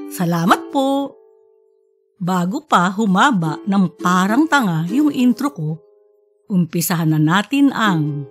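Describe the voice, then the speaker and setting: A woman with a Filipino accent hosting a podcast and narrating an audiobook story. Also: medium pitch.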